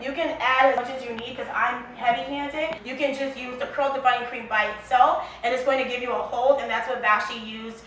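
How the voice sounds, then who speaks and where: Woman from Liberia, chatty founder, high voice, far-off echoey room. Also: a little fast, hardly any pauses, a little hiss or hum. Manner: chatty, animated, with restarts and quoted asides. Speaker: brand founder. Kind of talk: casual interview chat.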